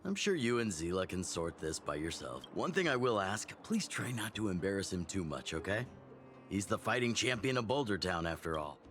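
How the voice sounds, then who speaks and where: An actor voicing a seasoned middle-aged fighting master in a video game, fatherly and reflective, telling stories in a measured way. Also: deep voice, very animated, a bit bright, soft background music. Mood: fond, apologetic. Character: seasoned male fighting master, fatherly, fond of his student. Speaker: mentor character. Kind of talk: video game character dialogue, storytelling.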